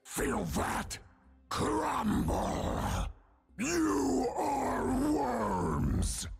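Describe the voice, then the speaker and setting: Savage undead warrior for a game: shredded, guttural voice, very slow menacing delivery, raging. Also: male voice, very high-pitched, animated, heavily acted. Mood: crushing contempt. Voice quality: gravelly, rasping, guttural. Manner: menacing, roaring war cries and slow growled threats. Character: brutal undead warrior champion, bloodthirsty, raging giant. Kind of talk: video game character voice lines.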